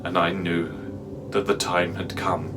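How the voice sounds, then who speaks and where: A man playing a haunted, fearful narrator in a dramatic reading of a horror tale, speaking deliberately and theatrically with halting pauses. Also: deep voice, flat delivery, over music. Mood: hushed dread. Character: haunted, fearful man recounting a nightmarish pursuit. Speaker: actor, narrator.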